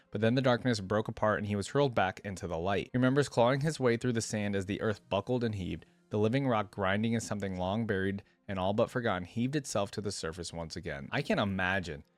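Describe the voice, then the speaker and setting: A man with a fairly low voice reads a dramatic tale aloud in a measured, solemn way. He is a reaction host, so the delivery can slip into a casual register. The sound is dry, with very little room. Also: no echo. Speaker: reaction video host reading a story aloud. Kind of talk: dramatic story reading with casual asides.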